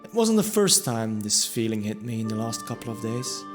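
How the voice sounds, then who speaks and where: A man's voice: on the low side, sing-song, normal speed. A man narrating a first-person fantasy audio drama in a reflective storytelling manner. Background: music.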